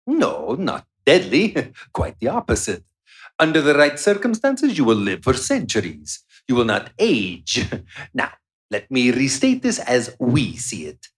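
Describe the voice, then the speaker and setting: An actor playing a condescending, menacing vampire doctor in a live radio play, theatrical and chuckling in manner. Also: male voice, medium pitch, huge pitch swings, a little slow. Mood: smug, gloating. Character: gloating, menacing male vampire doctor and boss.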